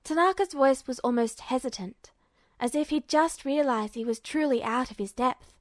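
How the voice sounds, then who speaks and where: A woman with a high voice narrates a fan fiction story. It is a scripted read, recorded very dry with hardly any room sound. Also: normal speed, no echo.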